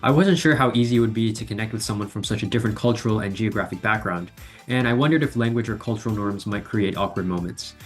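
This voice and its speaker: A young man from New Jersey, a university student, giving a quick, articulate reflection on a class project. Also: fairly low pitch, faint music behind. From New Jersey, United States. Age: young adult. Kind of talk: reflective video monologue.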